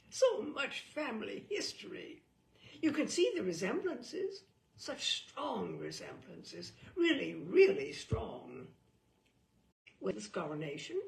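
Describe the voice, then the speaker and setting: A woman's voice: high voice, gravelly, roomy sound. A woman reading a humorous mock guidebook aloud in an arch, theatrical, knowing manner. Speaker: storyteller reading a book aloud.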